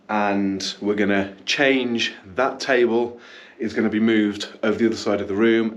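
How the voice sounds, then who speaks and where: A man's voice: on the low side, a little hiss or hum. A man with a slight cough, hosting a casual vlog from a small indoor studio, chatty and upbeat with ums and self-corrections. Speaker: business owner and channel host.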